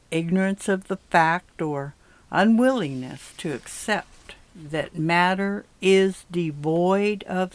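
A woman reading a religious essay aloud in a measured, deliberate way. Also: lowish voice, dry recording. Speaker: reader of a religious article.

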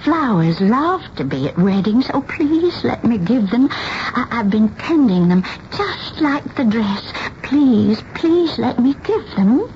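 An actress playing a fussy, doting older seamstress in a radio drama, halting and repeating her words. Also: fairly high pitch, very animated, full character voice. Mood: dreamy, pleading. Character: fluttery, eccentric, doting older spinster dressmaker. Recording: dull, slightly noisy 1970s radio recording.

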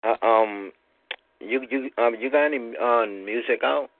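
A man hosting an interview, asking casual questions in a halting way with many ums and restarts. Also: mid-range voice, slight background noise. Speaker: interview host. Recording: dry, tinny phone-like sound.

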